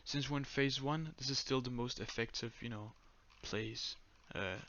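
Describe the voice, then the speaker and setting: A young man with a Danish accent giving a step-by-step screen-recorded tutorial in a flat, monotone voice full of "uh"s and "um"s. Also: mid-range voice, normal speed, lo-fi sound, a little hiss or hum. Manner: monotone, many fillers. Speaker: tutorial creator.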